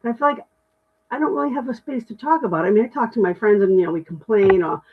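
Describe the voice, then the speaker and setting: A middle-aged woman with a deep voice, chatting candidly and self-deprecatingly on a livestream while reading comments. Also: quick pace, slightly rough, narrow, tinny audio. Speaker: livestream host.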